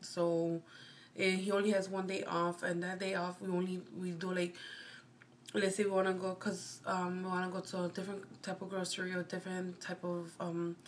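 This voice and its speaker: A woman's voice: fairly low pitch, completely flat delivery, normal speed, a little hiss or hum. A woman in her twenties from Chicago with a Mexican American accent, chatting casually to camera in a rambling, meandering way and often correcting herself. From Chicago, United States. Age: 20s. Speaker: vlogger.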